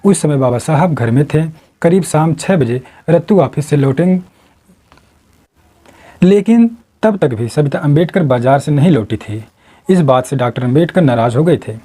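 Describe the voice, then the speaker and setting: A man's voice: on the high side, dark tone, breathy edge. A man narrating a scripted story in a dramatic storytelling style. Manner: acts out quoted dialogue within the narration.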